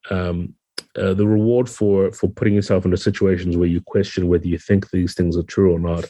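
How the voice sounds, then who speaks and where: Male interview guest: very low, dark voice with some huskiness, thoughtful explainer, dry recording. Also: slightly rough, dry recording. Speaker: podcast guest, game designer.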